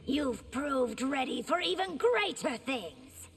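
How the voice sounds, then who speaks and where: A high, regal female ruler, composed and stately in video game style. She sounds distant in a long reverb, with loud noise. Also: sing-song, slow pace, full character voice. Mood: gracious, stately. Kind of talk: video game dialogue acting.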